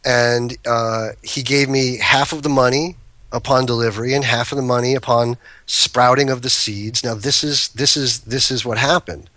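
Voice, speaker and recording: Bright-toned man telling rambling stories. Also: medium pitch, fairly even intonation, moderate pace, no room sound. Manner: rambling, self-interrupting anecdotes. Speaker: guest, cannabis breeder. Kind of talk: casual storytelling chat.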